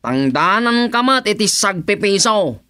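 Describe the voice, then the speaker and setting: A man's voice: sits high, sing-song, a little slow, sharp tone, clean tone, no room sound, heavily acted. A man playing a stubborn, aggrieved nephew in a radio comedy drama, with an Ilocano accent and an insistent, taunting way of talking. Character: aggrieved, stubborn nephew bargaining with his uncle.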